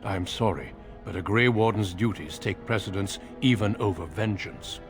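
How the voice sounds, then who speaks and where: An actor playing a courteous, grave warrior recruiter in a video game, speaking in a measured, formal way. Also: male voice, lowish voice, over music, lightly acted. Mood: solemn, firm. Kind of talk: dramatic video game acting.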